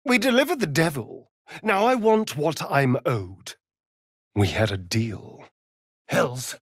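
A man's voice: on the high side, animated, slow pace, breathy edge, dry recording, clean studio sound, full character voice. An actor voicing a sly, sardonic male vampire rogue in a video game, with a theatrical, drawling manner. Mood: demanding. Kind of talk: video game character voice lines.

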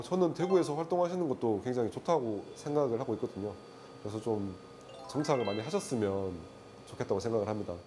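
A man in his thirties from Daegu with a Gyeongsang accent, a webtoon artist talking in an interview with relaxed fillers. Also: medium pitch, a little hiss or hum. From Daegu, South Korea. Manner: relaxed, earnest, frequent "uh" fillers. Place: indoor studio with shelves. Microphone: lapel.

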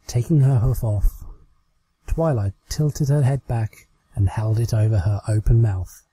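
A man's voice: mid-range voice, unhurried, dark tone, husky, low-quality recording. A British man reading a story aloud as a scripted amateur narration. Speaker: amateur fan fiction reader.

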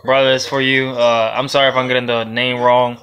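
A man's voice: medium pitch, flat delivery, a little fast, fairly bright tone. Loose-talking Puerto Rican young man. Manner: loose and rambling, with laughs and swearing. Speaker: reptile breeder and vlogger. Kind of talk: casual how-to vlog. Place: indoor reptile room lined with storage tubs. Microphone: phone held close at arm's length.

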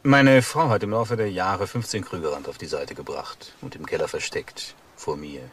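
An actor playing a calculating, sardonic husband in a crime drama, speaking in a cool, dryly taunting manner. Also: male voice, sits low, normal speed, fairly bright tone, husky. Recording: noisy archive crime drama audio.

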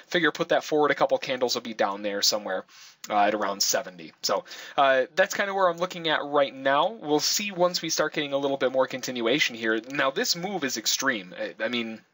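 A man's voice: medium pitch, brisk, fairly bright tone, no echo. A man giving casual live commentary as a trading instructor, explaining and thinking aloud as he goes. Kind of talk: casual live trade commentary over charts.

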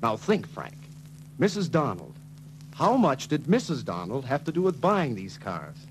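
A man's voice: mid-range voice, huge pitch swings, unhurried. An actor playing an emphatic, henpecked young car salesman in 1940s film style. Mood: excited. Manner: emphatic, exasperated. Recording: noisy 1940s film soundtrack.

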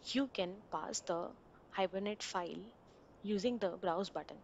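A woman narrating a screen-recorded software installation walkthrough, giving plain step-by-step instructions over a desktop mic. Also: lowish voice, a little slow, gravelly, lo-fi sound, slight background noise.

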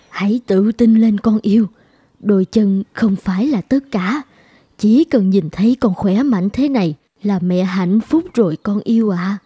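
Devoted cartoon mother, mellow voice. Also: mid-range voice, husky, no room sound. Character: devoted, emotional mother of a young boy. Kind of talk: Vietnamese cartoon voice acting.

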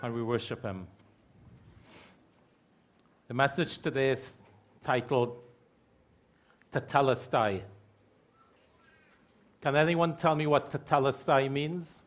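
A middle-aged man preaching a sermon from a church pulpit mic, repeating key phrases slowly for emphasis. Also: medium pitch. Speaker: pastor. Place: church hall with stage curtains.

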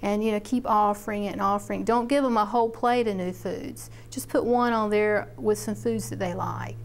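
Middle-aged woman, community agent, conversational and filler-heavy, resonant clear tone. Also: mid-range voice, steady tone, normal speed. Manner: chatty, with many fillers and restarts. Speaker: extension agent. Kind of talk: interview about a program.

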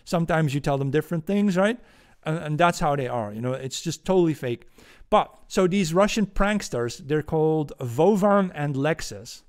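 A man in his forties with a Dutch accent, hosting a political commentary podcast from a room at home on a boom-arm studio mic, ranting indignantly. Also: lively intonation, moderate pace. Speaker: podcast host.

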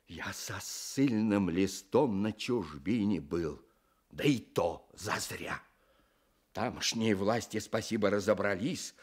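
A man's voice: mid-range voice, breathy edge. An actor playing a pious, sorrowful old village stove-builder in a radio play, telling his story earnestly with folksy sighs. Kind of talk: Soviet radio play acting.

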